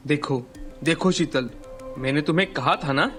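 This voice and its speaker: A man's voice: a little high, normal speed, fairly bright tone, soft background music, close to an everyday voice. A man playing a plain village farmer husband, baffled and doubting, in an acted moral story. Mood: doubtful. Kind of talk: scripted Hindi moral story acting.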